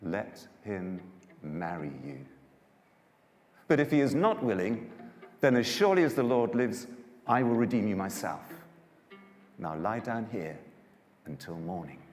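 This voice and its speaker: A man playing a kindly, honourable older landowner who speaks with earnest, deliberate authority. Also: lowish voice, very animated, soft background music. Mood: gentle, reassuring. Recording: echoey hall with long reverb.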